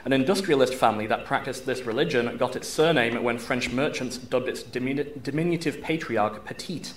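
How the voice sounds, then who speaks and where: A man's voice: medium pitch, brisk. A man reading quiz questions formally as quizmaster, enunciating carefully in a live room. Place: university room at a quiz tournament. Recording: live room with background noise.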